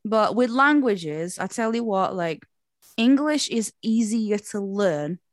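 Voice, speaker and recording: A woman from Portugal, a native Portuguese speaker, chatting casually in English and telling stories in a chatty, animated way. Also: mid-range voice, slow pace, no room sound, clean studio sound. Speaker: performer who does shows. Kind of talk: casual chat.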